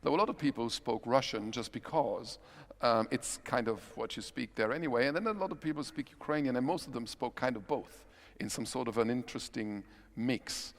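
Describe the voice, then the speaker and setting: A man's voice: mid-range voice, expressive, brisk. Man moderating a panel in a reverberant hall. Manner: conversational, asks long framing questions. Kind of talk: conference panel interviewing. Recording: long hall reverb, conference stage.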